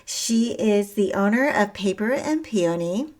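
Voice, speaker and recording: A woman's voice: medium pitch, expressive, a little slow, a little mellow. A woman hosting an interview show, introducing and chatting with her guest in a bubbly, enthusiastic way. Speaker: podcast host.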